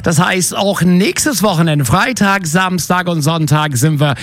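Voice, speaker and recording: Brief: German-accented male radio host, slightly high voice, chatty storyteller. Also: unhurried. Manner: chatty, lively storytelling, laughs often. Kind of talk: radio interview.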